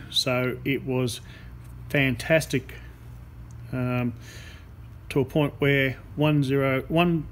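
A man explaining a hands-on radio repair as a technician, unscripted, with a halting delivery and long pauses. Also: medium pitch. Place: indoor workbench in a repair workshop. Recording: clear background noise, workshop ambience. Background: workshop room noise.

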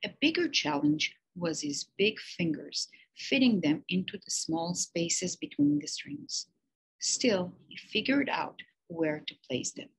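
Woman, teacher, storybook read-aloud, airy voice, expressive emphasis. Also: mid-range voice, slow pace, gravelly, slightly rough, low-quality recording. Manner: expressive storytelling with lifted emphasis on key words. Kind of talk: picture-book read-aloud.

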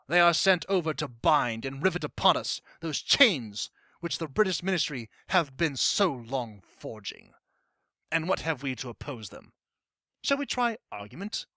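A man's voice: a little high, bright, forceful tone, dry recording, studio quality, heavily acted. A man playing an impassioned colonial-era statesman, delivering a formal, emphatic dramatic monologue as a speech to an assembly. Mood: indignant, urgent, then impassioned, grave.

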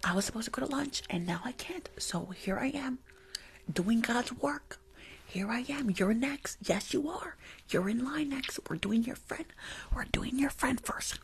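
A young woman playing a rude, weary school nurse in an ASMR roleplay, grumbling in a breathy whisper close to the mic. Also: a little high, lively intonation, measured pace, slight background noise. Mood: annoyed, weary. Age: young adult. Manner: sassy, grumbling, rambling. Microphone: close foam-covered microphone on desk.

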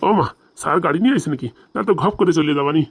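An actor plays a bumbling old village priest in a comic cartoon. He chatters quickly, at a middling pitch. He is a gluttonous rambler, always full of excuses. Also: animated, breathy edge. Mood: sheepish, rambling. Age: older. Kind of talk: comic cartoon dialogue in rural Bengali.

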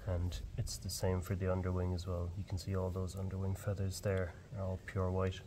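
Young Irish man, low register, calm field-guide delivery, shed ambience audible. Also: fairly quick, slight background noise. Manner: calm, explanatory. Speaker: barn owl ringer. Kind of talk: explaining fieldwork on camera. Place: open farm shed outdoors.

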